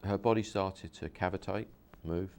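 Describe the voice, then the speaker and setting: A man's voice: sits low, steady tone, normal speed, a little mellow. A man, a hypnosis practitioner, interviewing a guest and explaining his method earnestly, with many repeats and restarts.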